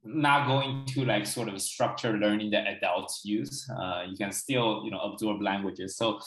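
A man's voice: fairly low pitch, unhurried, a bit bright. Man explaining over an echoey video call. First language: Chinese. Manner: explains at length with frequent "right?" and "you know". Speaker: guest expert. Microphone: video call, laptop camera.

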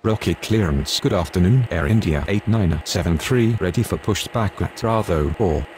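A man plays an airline pilot talking to the tower in a video game. The voice is very deep and the scripted calls are clipped and procedural. There is faint noise behind it. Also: expressive, normal speed, a little mellow. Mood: businesslike. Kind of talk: scripted radio phraseology.

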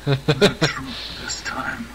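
An actor playing a stern, skeptical captor in a video game, terse and flat. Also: male voice, medium pitch, drawn-out words. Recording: distant voice, loud game noise.

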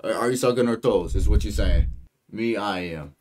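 A man's voice: on the low side, normal speed, a little mellow. A young American man streaming from home on a headset mic, rambling and joking loosely with his chat while he reacts to a video. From United States. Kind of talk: casual live-stream commentary.

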